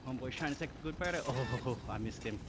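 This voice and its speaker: A man's voice: medium pitch, fast, noisy background. A man with an Australian accent chatting casually as a live streamer, slangy, reading chat aloud and thanking viewers. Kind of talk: casual livestream chat over gaming.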